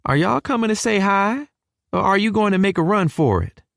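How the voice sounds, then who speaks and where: Cheerful Southern Texan mom, affectionate and fussing, pitched well up, played by a male narrator. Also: animated, moderate pace, vocal fry, a bit bright, no echo, clean studio sound, full character voice. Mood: doting. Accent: Southern US (Texas). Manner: affectionate, doting. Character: warm motherly Texan woman, cheerful.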